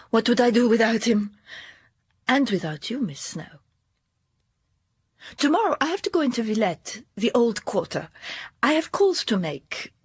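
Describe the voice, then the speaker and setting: An actress playing a watchful, coolly controlling schoolmistress in a period drama, speaking in a deliberate, breathy, insinuating way. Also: female voice, mid-range voice, no echo, clean studio sound, heavily acted. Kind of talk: period audio drama acting.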